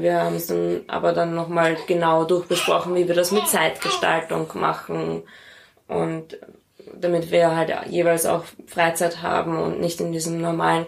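A woman's voice: on the low side, gravelly. A woman with an Austrian accent, answering conversationally as an interview guest.

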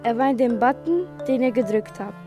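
A woman's voice: fairly high pitch, expressive, fairly quick, natural voice. A woman playing a smug, gloating famous author who declaims in repeated phrases in a radio play. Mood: smug, insistent. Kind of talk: school radio play acting. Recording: music bed behind the voice.

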